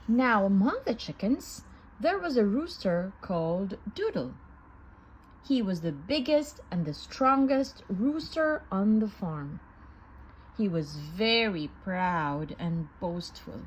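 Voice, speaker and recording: A woman reading a children's story aloud in an expressive, sing-song manner. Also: mid-range voice, slow, a little hiss or hum, poor audio. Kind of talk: children's read-aloud narration.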